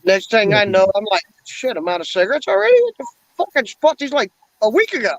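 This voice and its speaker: A man's voice: sits high, wildly swooping pitch, fast talker, fairly bright tone, no echo. Blunt, profane man in his forties. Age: 40s. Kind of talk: casual chat. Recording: dull lo-fi dry sound.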